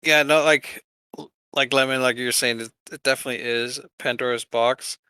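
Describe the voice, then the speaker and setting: A man co-hosting a podcast, chatting casually about games in a somewhat halting, conversational way. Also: medium pitch, steady tone, pushed and bright, clean tone, no room sound. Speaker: podcast co-host.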